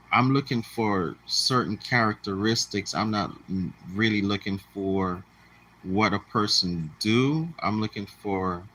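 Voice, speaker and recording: A man's voice: on the low side, sharp tone, rough recording. A man in his late forties speaking straight to his viewers in a blunt, repetitive, lecturing way. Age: 40s. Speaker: channel host. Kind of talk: casual monologue to the camera.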